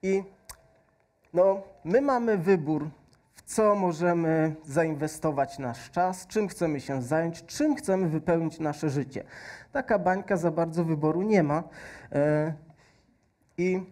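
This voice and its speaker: Slow, mellow man demonstrating bubbles. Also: a little high. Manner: slow, patient explaining with frequent fillers. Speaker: science presenter. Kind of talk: stage science demo with a faith message. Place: large stage with audience hall. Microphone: headset.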